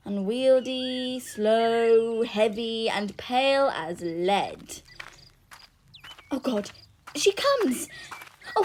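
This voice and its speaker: Woman as a high-voiced, lovestruck young girl. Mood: impatient longing. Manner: pleading, dramatic verse delivery. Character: eager, impatient young girl in love. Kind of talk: Shakespeare audio drama acting. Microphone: phone, recorded at home. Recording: noisy home phone recording.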